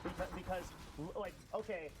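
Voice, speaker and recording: A young man livestreamer chatting casually in an excitable, halting way, often repeating his words. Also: high-pitched, loud music behind the voice. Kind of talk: casual chat on a game stream. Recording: clear gunfire and game noise. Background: video game gunfire.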